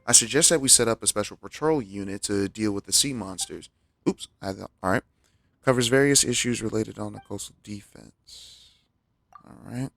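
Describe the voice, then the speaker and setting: Lowish, gravelly male game streamer. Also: fairly bright tone, no echo. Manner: halting, rambling asides. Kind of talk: casual playthrough commentary, reading game text aloud.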